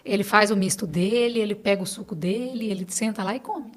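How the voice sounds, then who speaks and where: A woman's voice: medium pitch, a little fast. A middle-aged Brazilian woman talking casually as a podcast guest, telling stories and quoting conversations as she goes.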